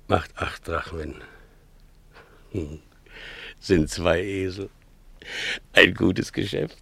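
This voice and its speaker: An actor playing a simple, gullible, boastful donkey driver in a German radio play, muttering and exclaiming haltingly. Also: male voice, on the low side, vocal fry, a bit bright, no echo, exaggerated voice. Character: simple, tipsy-prone male donkey driver, gullible, self-pitying, boastful.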